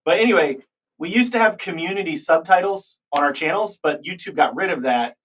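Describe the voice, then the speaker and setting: An American man co-hosting a livestream, chatting in a relaxed, joking way. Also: a little high, brisk, breathy edge, low-quality recording. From United States. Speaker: co-host. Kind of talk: casual livestream chat.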